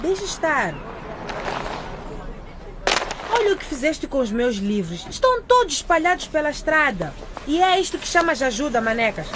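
Radio drama role: self-assured, scolding teen girl, highish expressive voice, noisy street behind. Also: a little fast. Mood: annoyed. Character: self-assured teenage schoolgirl, brisk and exasperated.

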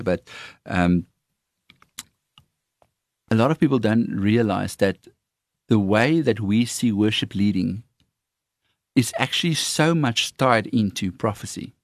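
Low-voiced Afrikaans-accented man. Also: vocal fry, no echo, studio quality. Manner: earnest, halting with frequent fillers. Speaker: worship leader trainer. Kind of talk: casual conversation on faith.

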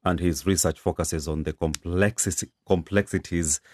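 A man from Uganda co-hosting a radio news magazine in a studio, relaxed and easygoing. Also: low-pitched, moderate pace. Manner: relaxed, laughs easily, reads comments. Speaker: radio co-host.

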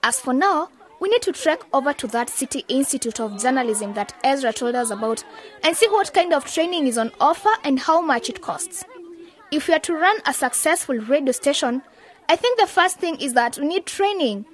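A woman acting a bossy, focused young aspiring journalist in a radio drama, brisk and scolding. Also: high voice, expressive. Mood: bossy, decisive.

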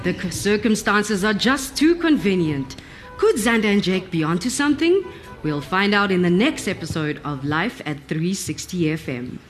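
A woman's voice: mid-range voice, very animated, moderate pace, soft background music, natural voice. A woman playing a teasing, suspenseful announcer who narrates a live radio drama serial.

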